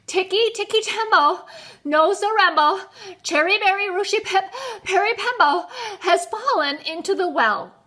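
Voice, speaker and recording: Lady doing a panicky little storybook boy, panting and pleading, really high bright voice. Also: animated, moderate pace. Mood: panicked. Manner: gasping, panting, stumbling over words. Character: frantic, breathless little Chinese boy, dutiful and pleading. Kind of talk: children's storybook read-aloud.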